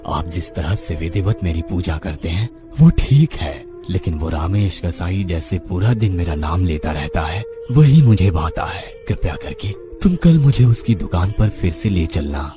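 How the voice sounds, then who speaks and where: A man voicing a gentle deity speaking in a dream in a cartoon, calm and tender. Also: deep voice, normal speed, few pauses. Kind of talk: cartoon voice acting. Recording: background music under voice.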